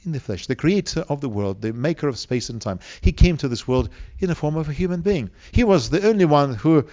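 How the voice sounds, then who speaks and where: A man from Siberia with a Russian accent preaches to an audience, speaking deliberately with rhetorical questions and emphatic pauses. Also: on the high side, lively intonation, mellow, lo-fi sound. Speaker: preacher, evangelistic seminar speaker. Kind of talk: sermon to a live audience.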